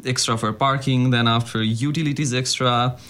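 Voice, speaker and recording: A man's voice: mid-range voice, steady tone, moderate pace, fairly bright tone. Young man, earnest and hesitant. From Nepal. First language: Nepali. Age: young adult. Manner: earnest, hesitant with fillers and restarts. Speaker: student guest. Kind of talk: interview answers.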